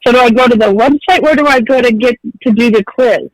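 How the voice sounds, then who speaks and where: Polite woman caller, high pitch, phone-line sound, dry. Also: quick pace, no echo. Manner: polite, tentative. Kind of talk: phone-in question.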